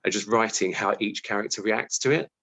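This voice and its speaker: A man's voice: lowish voice, fairly quick, vocal fry, sharp tone. A man giving a casual interview as a guest, thoughtful and hesitant, often restarting his sentences. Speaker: interview guest, television scriptwriter.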